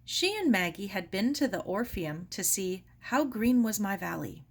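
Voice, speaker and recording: A woman reading a children's story aloud as expressive scripted narration. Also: medium pitch, moderate pace. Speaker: storybook reader.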